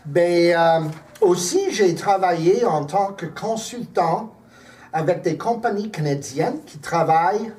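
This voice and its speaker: A man who directs a cultural center, speaking in an articulate, measured way in an oral history interview. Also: high voice, a little mellow. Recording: distant mic.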